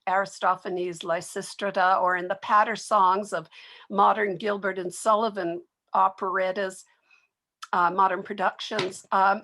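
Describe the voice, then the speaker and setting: A woman's voice: mid-range voice, fairly bright tone. A woman presenting an explanatory introduction to a literary reading.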